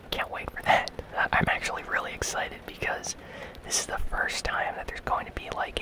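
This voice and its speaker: Soft, breathy whispered ASMR voice. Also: low-quality recording. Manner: gentle, casual, unhurried delivery. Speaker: host of a gaming news channel. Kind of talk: whispered ASMR news commentary. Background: rain sounds.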